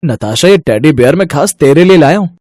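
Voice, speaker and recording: An actor playing an eager, respectful young manager and dutiful son in a family storybook tale. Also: male voice, a little high, animated, a little fast, darkish tone, no room sound, studio quality, natural voice. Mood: happy, affectionate.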